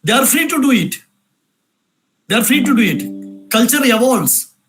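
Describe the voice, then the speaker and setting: A man speaks in a noticeably high register, lecturing with insistent repetition. He drives his points home through rhetorical questions and repeated phrases. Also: expressive, moderate pace, fairly bright tone, soft background music. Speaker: speaker at a cultural event. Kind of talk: emphatic lecture answering questions.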